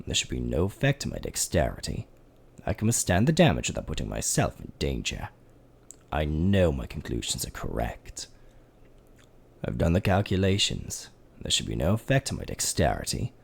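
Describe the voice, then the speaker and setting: A methodical skeleton scientist, as a man voices him in a fan dub, recites his reasoning in a deep, gravelly voice. His delivery is measured and steady, and his pitch lifts and falls as he reassures himself. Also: heavily acted. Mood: reassuring.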